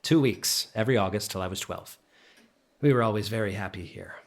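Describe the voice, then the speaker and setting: Low-voiced, hesitant melancholy man played by an actor. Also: no echo, natural voice. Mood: wistful. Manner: hesitant, halting, introspective. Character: troubled, bookish, melancholy man revisiting childhood holiday guesthouse. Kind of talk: audio drama dialogue and reflective narration.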